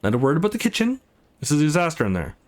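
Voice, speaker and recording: A man voicing a tough but secretly soft young woman in a visual novel, blunt, sarcastic and reluctant. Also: fairly high pitch, wildly swooping pitch, racing. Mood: grudging.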